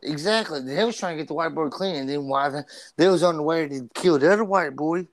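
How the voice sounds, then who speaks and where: A man with a slight stutter co-hosts a loose podcast, cursing freely and stumbling over his words as he gets excited. His voice sits a little high for a man. The sound is dry and close, with almost no room behind it. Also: fairly quick, no room sound. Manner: animated, profane, stumbles and repeats words. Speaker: podcast co-host. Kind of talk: casual podcast chat.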